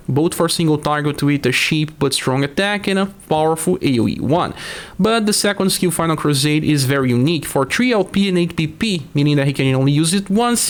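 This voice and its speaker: Brazilian man with a flu-sore throat. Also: a little high. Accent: Brazilian Portuguese. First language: Portuguese. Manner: explanatory, talks through stats with frequent "uh" pauses. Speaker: gaming channel host. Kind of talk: casual game news commentary.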